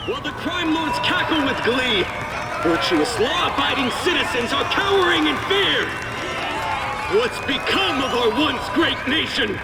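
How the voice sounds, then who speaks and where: A man's voice: extremely high pitch, very animated, measured pace, over a noisy crowd, heavy background noise, heavily acted. An actor playing a pompous, self-righteous male political candidate giving a fervent, declamatory campaign speech in a video game.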